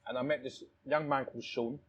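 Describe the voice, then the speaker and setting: A man's voice: medium pitch, steady tone, fairly quick, a bit bright, husky, lo-fi sound. A man telling stories casually in conversation, rambling and repeating phrases, with frequent "you know". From born in Thailand, lives in London. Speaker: restaurant owner, interview guest.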